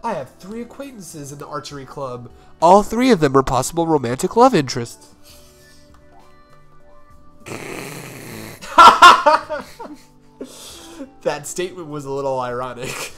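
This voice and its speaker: A man's voice: a little high, sing-song, takes it slowly, pauses often, bright, forceful tone. A young man giving casual, crude and irreverent commentary as co-host of a gaming show. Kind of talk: casual joking game commentary. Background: video game music.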